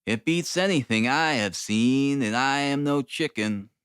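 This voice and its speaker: A man's voice: mid-range voice, a little slow, fairly bright tone, smooth, no echo, studio quality, close to an everyday voice. A man voicing a plain-spoken, deferential police detective in an audiobook, clipped and emphatic. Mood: earnest, baffled.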